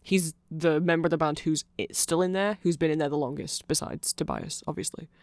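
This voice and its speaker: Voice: medium pitch, steady tone, a little fast, creaky, dry recording. A person giving a casual, rambling ASMR explainer in a nerdy, chatty, self-correcting way, like an enthusiastic fan talking to the listener. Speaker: host explaining band lore to the listener.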